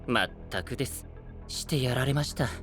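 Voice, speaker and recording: An actor playing a composed, sardonic senior disciple who scolds politely and exactingly in an anime-style audio drama. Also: male voice, mid-range voice, normal speed, over music, close to an everyday voice. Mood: wry, exasperated.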